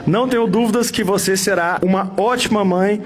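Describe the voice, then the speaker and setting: A man's voice: sits high, a little fast. A man giving teasing, joking wedding vows in a mocking tone. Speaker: groom reading wedding vows. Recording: clip with loud music behind.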